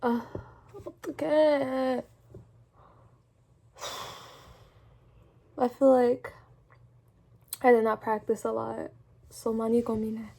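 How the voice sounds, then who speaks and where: A young woman talking casually to her camera while ill, her voice weak and a little strained. Her voice sits high, yet its tone is dark and mellow. She chats loosely, laughs often and drifts between English and learner Korean. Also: level tone, unhurried, vocal fry, pauses often. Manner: chatty, laughing, lots of "like" fillers. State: weak, sick voice. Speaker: vlogger and language student.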